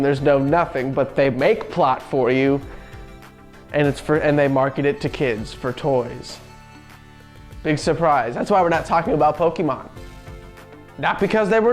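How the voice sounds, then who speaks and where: A man with a somewhat high-pitched voice speaks as a show co-host, giving commentary on games and films. Faint music plays behind him. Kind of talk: scripted narration and casual opinionated talk about video game films.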